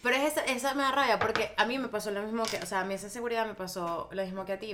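A woman's voice: mid-range voice, fairly bright tone. A woman with a Venezuelan Spanish accent, talking frankly and animatedly in casual chat, swearing when riled. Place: lives in the United States.

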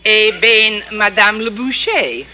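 A woman's voice: medium pitch, expressive, slow. A woman playing a schoolteacher posing as a mock-grand, wisecracking Frenchwoman with a put-on French accent, in 1950s radio comedy style. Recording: noisy muffled old radio broadcast recording.

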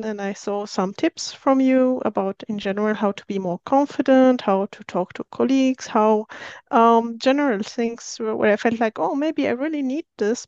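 A woman in her thirties from Syria, a software engineer and guest, speaking carefully in an interview with many pauses and fillers. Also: on the high side, smooth, no room sound, highs cut off. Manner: hesitant, many fillers, careful non-native phrasing. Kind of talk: podcast interview.

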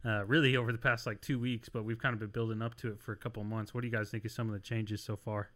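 A man's voice: lowish voice, little pitch movement, racing, fairly bright tone. A young man hosts a church youth podcast in a loose, upbeat way. He hypes up his friends as they talk and keeps the chat moving along. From Illinois, outside Chicago. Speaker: student pastor. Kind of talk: casual podcast hosting.

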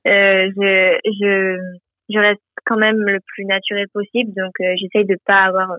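A woman's voice: mid-range voice, flat delivery, measured pace, no room sound. A teenage girl from southern France, a guest in a radio interview, talking cheerfully with many hesitations and restarts over the phone. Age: teens. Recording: tinny phone line.

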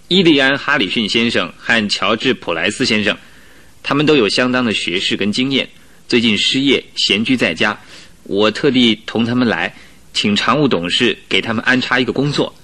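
Earnest, bright-voiced polite young clerk. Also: male voice, medium pitch, lively intonation, brisk. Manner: formal, respectful, articulate. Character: polite, earnest male job-seeker introducing friends. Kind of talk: radio drama acting.

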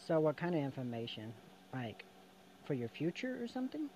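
A listener in a live audio chat asking quiet, curious questions. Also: medium pitch, sing-song, fairly quick.

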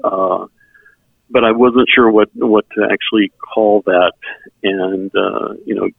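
A middle-aged man from South Texas whose first language is German, telling his personal story in an earnest, halting way as an interview guest. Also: on the low side, fairly even intonation, vocal fry, dry recording. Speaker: interview guest, member services volunteer. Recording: tinny phone-like sound, band-limited.

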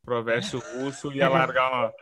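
A man's voice: medium pitch, level tone, normal speed, pushed and bright. A man co-hosting a podcast, friendly and joking with fillers. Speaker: podcast co-host. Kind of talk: casual podcast chat.

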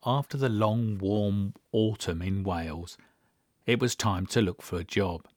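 A man's voice: on the low side, a little slow, darkish tone, no room sound, studio quality. A mature man hosting a podcast, narrating memories from his own life in a personal, storytelling way. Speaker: podcast host.

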